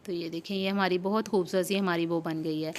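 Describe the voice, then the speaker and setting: Clear-voiced woman giving step-by-step instructions. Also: lowish voice, fairly even intonation, brisk. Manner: explanatory, repeats steps and often checks in. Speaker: sewing tutorial host. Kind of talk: informal step-by-step instructional narration.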